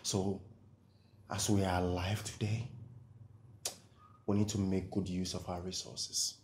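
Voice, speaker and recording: An actor with a Ghanaian accent playing a young household worker who pitches a money scheme with nervous little laughs. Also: male voice, flat delivery, a little slow, darkish tone, slight background noise. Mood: persuasive. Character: smooth-talking scheming male worker, young. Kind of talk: comedy skit acting.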